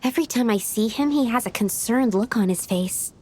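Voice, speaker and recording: A woman voicing a shy, polite, bookish young alchemist in a video game, speaking softly and courteously with some hesitation. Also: fairly high pitch, brisk, breathy edge. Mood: concerned, apologetic. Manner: soft-spoken, hesitant, courteous. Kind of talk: video game character acting.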